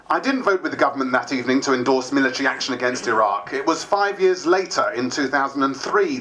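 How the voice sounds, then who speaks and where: A man's voice: a little high, bright, forceful tone, low-quality recording. A man playing a wry, candid diarist who is new to politics, narrating dramatised diary entries.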